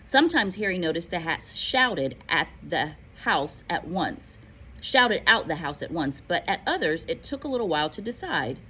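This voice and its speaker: A woman reading a story aloud in an animated, playful, expressive way, like a teacher. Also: lowish voice, moderate pace, slightly rough, rough recording. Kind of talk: expressive read-aloud narration with casual asides.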